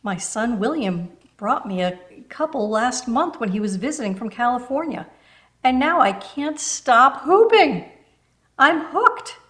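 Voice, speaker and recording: A woman's voice: on the high side, big pitch swings, measured pace, a bit bright, voice pushed up. A woman voicing a kind, encouraging older neighbor lady from a children's storybook, folksy and affectionate. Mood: enthusiastic, coaxing. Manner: encouraging, folksy, calls listener honey. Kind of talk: children's storybook read-aloud.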